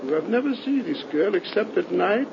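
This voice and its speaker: A gravelly, grave doctor. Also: male voice, sits high, animated, normal speed. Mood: ominous, grave. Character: grave older country doctor who believes in vampires. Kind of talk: 1930s radio horror acting. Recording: crackly, muffled 1930s radio recording.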